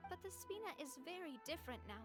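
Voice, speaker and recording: An actress playing a confident, warm-hearted young gang boss with an upbeat, assured manner. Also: female voice, very high voice, sing-song, quick pace, over music.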